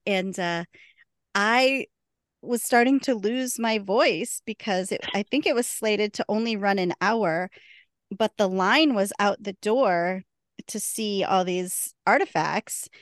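A woman's voice: medium pitch, slow pace, no room sound. Chatty woman podcast co-host, dry sound. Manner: chatty, laughs often. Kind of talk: casual podcast chat.

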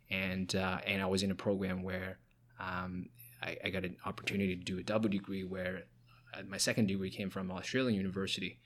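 Man from China, Chinese first language, rapid casual speech, lower pitch, fillers and restarts. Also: fairly bright tone. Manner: quick, with many fillers and restarts. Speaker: podcast guest, founder. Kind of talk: casual interview chat.

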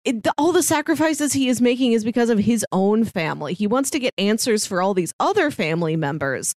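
A woman's voice: on the high side, expressive, fairly quick, a little mellow, no room sound, studio quality. A woman co-hosting a podcast, casually discussing a story and acting out bits of dialogue as she retells it. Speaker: podcast co-host. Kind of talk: casual discussion of an audio drama.

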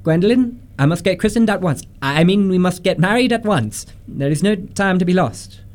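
A man playing an earnest, polite Victorian gentleman in his late twenties in a radio comedy, formal and a little flustered. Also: sits high, lively intonation, moderate pace. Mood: earnest, pleading. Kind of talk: stage-comedy radio acting.

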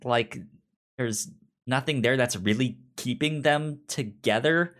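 A man who stutters on syllables and sounds, talking casually and haltingly as a podcast co-host explaining pop culture. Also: mid-range voice, a bit bright.

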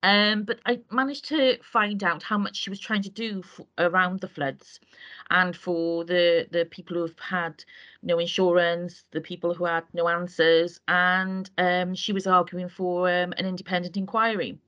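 A Welsh woman from Wales, a community councillor, talking earnestly in a casual interview and pausing often as she gathers her thoughts. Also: lowish voice, fairly even intonation, fairly bright tone, dull audio. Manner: earnest, meandering with frequent hesitations.

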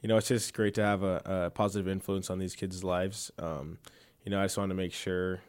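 Low-voiced young man, modest interview guest. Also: level tone, fairly quick, clean tone. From Montana, USA. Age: young adult. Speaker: college athlete interview guest. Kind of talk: casual interview answers.

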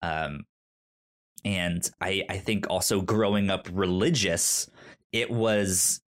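Slow, stammering podcast co-host. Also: low voice, fairly even intonation. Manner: stammering, repeating syllables and words. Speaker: podcast co-host discussing films. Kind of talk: casual conversational film discussion.

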